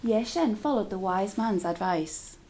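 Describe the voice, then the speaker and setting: A woman's voice: medium pitch, animated, a little slow, mellow. A woman teacher reading a story aloud to children in a dramatic, engaging way. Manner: dramatic, engaging listeners with questions.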